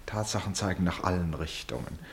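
An eccentric thinker-detective in a radio play. He has a low voice that rises and dips dramatically. He muses with amused, emphatic conviction over faint background noise. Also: animated, a little fast, vocal fry. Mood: musing, insistent. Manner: musing, theatrical, emphatic. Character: eccentric, philosophical, amused male sleuth. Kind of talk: German radio play acting.

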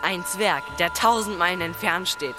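A man's voice: sits high, moderate pace, bright, forceful tone, soft background music. A male performer playing a cocky, teasing thirteen-year-old boy in a radio play, with a mocking manner.

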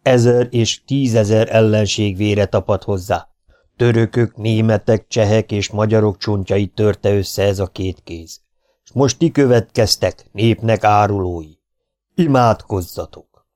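A man voicing a proud, menacing old-time Hungarian warlord in a storybook reading, making solemn, booming threats. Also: a little mellow, lightly acted. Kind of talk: audiobook character voice.

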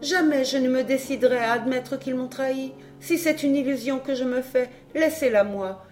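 A woman's voice: high-pitched, moderate pace, a little mellow, faint music behind, close to an everyday voice. A woman playing a proud, loyal young lady in a dramatised audiobook, earnest and dignified in manner. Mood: earnest resolve.

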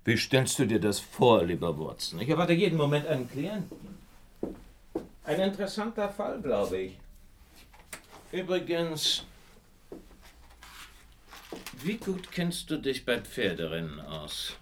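A man playing a shrewd, self-assured gentleman detective in a German radio crime drama, with probing questions and dry amusement. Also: medium pitch, big pitch swings, measured pace, slight background noise. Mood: dryly amused.

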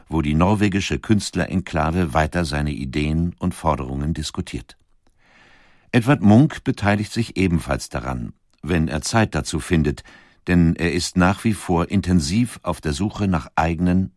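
A man narrating a scripted biographical documentary in a measured, matter-of-fact manner. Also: sits low, a little mellow, no room sound, clean studio sound.

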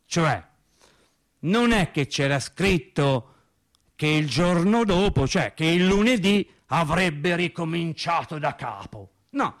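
Radio theatre monologue: rambling, earnest factory hand, high-pitched, colloquial, emphatic, doubling back on phrases. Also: male voice, animated, takes it slowly. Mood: animated musing. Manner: colloquial, emphatic, self-interrupting, repeats himself. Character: earnest, rambling working-class male factory worker recounting his life.

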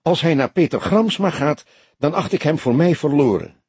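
A man's voice: on the high side, animated, normal speed, darkish tone, slightly rough, no echo, pitched above the performer's own voice. A man reading an audiobook, voicing an indignant, guarded woman under questioning who answers sharply and curtly, sometimes hesitantly. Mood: anxious. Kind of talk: audiobook character dialogue.

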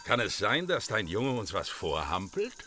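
A man's voice: lowish voice, sing-song, normal speed. An actor playing a brusque, suspicious mafia errand man, curt and commanding, in a radio crime drama.

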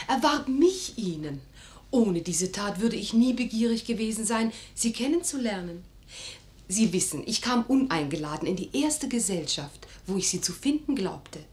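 A woman's voice: medium pitch, lightly acted. An actress playing a teasing, determined young noblewoman in love, with refined theatrical delivery in the style of 1950s radio drama. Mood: tender, earnest. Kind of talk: 1950s German radio play acting.

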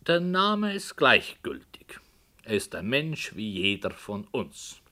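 An actor playing a brooding, hesitant author who tells a dark story in a slow, hushed voice that sometimes drops to a whisper, in 1960s radio play style. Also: male voice, medium pitch, very animated, exaggerated voice. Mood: musing.

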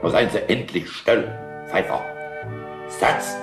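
An actor playing a fussy, exasperated professor in a radio play, with affected, distorted vowels. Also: male voice, low voice, takes it slowly. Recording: echo with loud music behind.

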